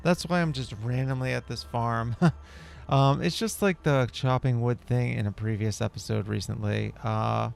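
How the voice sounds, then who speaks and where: A man's voice: medium pitch, steady tone, moderate pace, gravelly, few pauses, clean tone. A man hosting a gameplay video, giving casual, rambling, laid-back commentary in character.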